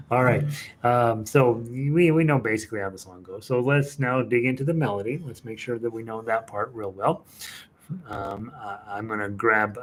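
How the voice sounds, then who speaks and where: A man's voice: mid-range voice, slight background noise. A man teaching a casual fingerstyle guitar lesson in an informal, hesitant way, as if thinking aloud.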